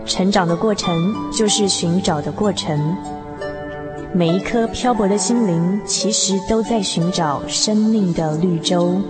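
A woman's voice: mid-range voice, a little slow. A woman reading a poetic, scripted introduction to a radio program. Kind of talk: slow poetic scripted narration. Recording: loud music under narration. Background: prominent instrumental music.

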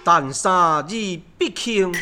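A man's voice: sits high, animated, slow pace, music in the background. A man playing a refined, courteous young scholar, declaiming formally in Taiwanese opera style. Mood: stately. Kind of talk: Taiwanese opera recitation and dialogue.

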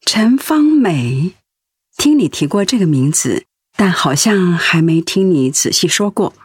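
An actress with a Taiwanese accent playing a devoted, self-effacing wife in a radio drama, caring in manner. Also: female voice, on the low side, very animated, a little slow, a little mellow, husky, no echo, studio quality, exaggerated voice. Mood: tender, subdued. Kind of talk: Mandarin radio drama acting.

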